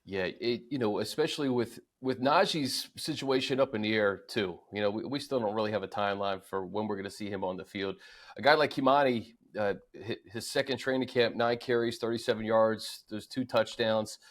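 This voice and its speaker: A man's voice: fairly low pitch, fairly quick. An American man hosting a sports show, casually discussing players and running through statistics.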